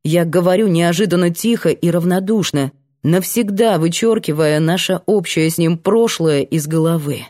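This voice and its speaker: A woman's voice: on the low side, normal speed, mellow. A woman reading a first-person novel aloud as scripted audiobook narration.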